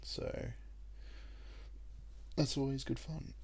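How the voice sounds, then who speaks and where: A young Australian man with a croaky voice, chatting casually and rambling with lots of ums while he plays a puzzle game. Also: medium pitch, drawn-out words. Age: young adult. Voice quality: croaky, gravelly voice. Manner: rambling and relaxed, with many ums. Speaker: gaming YouTuber. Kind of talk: casual let's-play commentary.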